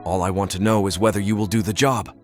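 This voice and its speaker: A man's voice: on the low side, fairly even intonation, quick pace, lightly acted. A man playing a pompous, condescending owl politician with a menacing streak in an audio play. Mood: angry. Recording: music behind the voice.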